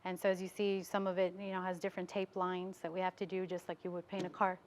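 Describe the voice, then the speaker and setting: A woman's voice: fairly low pitch, flat delivery, fast, slight background noise. An American woman being interviewed as a business owner in a TV studio, explaining things in a casual way.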